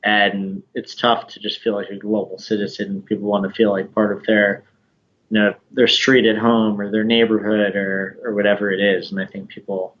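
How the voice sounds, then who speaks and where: Low, articulate man on dull call audio. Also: little pitch movement. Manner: articulate, thoughtful, measured. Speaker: guest. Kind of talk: casual interview conversation.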